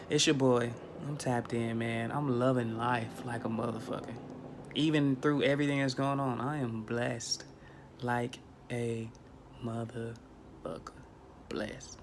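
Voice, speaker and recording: A man's voice: mid-range voice, creaky, a little hiss or hum. A man in his thirties talking casually into a phone camera in a small bedroom, preachy and emphatic, repeating himself for effect. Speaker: spiritual vlogger. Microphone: phone camera at arm's length.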